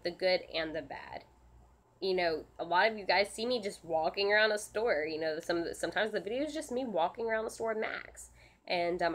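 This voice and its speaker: A woman with a stuffed-up nose and a scratchy throat from a sinus infection, hosting a casual live question-and-answer stream, speaking in a halting, earnest way with frequent restarts and ums. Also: fairly low pitch. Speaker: service dog handler and channel host.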